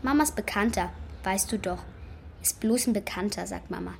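A woman's voice: medium pitch, moderate pace, creaky, a little hiss or hum, close to an everyday voice. A woman playing a curious, matter-of-fact young schoolgirl in a children's radio play, chatty and a little defensive. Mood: defensive, casual. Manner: plain, chatty, childlike phrasing.

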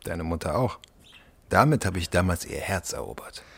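A man's voice: sits low, big pitch swings, gravelly, a bit bright, slightly rough, full character voice. A man acting in a children's radio play as a doting working-class father, colloquial and affectionate. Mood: playful. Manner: colloquial, affectionate, halting when reading aloud.

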